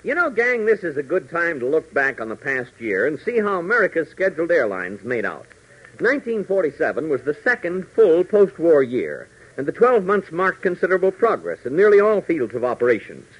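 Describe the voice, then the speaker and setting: A male 1940s radio announcer narrates in a formal, emphatic style. His pitch moves in lively swings and his voice is somewhat rough. The old recording sounds dull. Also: brisk.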